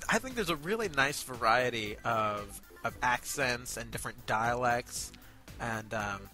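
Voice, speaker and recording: Bright-voiced, effusive man chatting over music. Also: medium pitch, lively intonation. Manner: effusive, gushing, quick to laugh. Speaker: co-host of an amateur voice acting review show. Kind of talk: casual, enthusiastic review chat.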